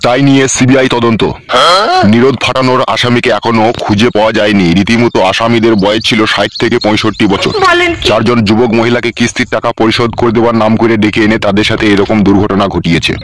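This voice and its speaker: A man's voice: on the low side, flat delivery, fast, natural voice. A man voicing a brusque, bossy, swearing older thug uncle in a comic cartoon. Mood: mocking. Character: gruff, foul-mouthed, bossy older neighbourhood thug uncle.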